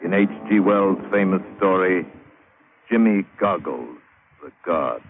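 A man's voice: low-pitched, animated, a little slow, gravelly. A man announcing a 1940s radio drama with an ominous, dramatic scripted delivery. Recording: muffled vintage radio, faint music.

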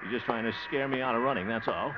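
A man's voice: medium pitch, fast talker, noisy background, close to an everyday voice. An actor playing a blustering, gullible middle-aged small-town man in a hammy 1950s radio comedy. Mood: musing, grumbling. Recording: muffled old 1950 radio broadcast.

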